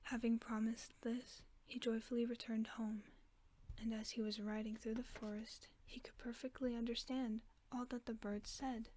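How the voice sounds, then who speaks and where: Soft whispering woman reading a bedtime tale. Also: fairly high pitch, a little hiss or hum. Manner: slow, hushed, even whisper. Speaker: narrator of a sleep-aid story.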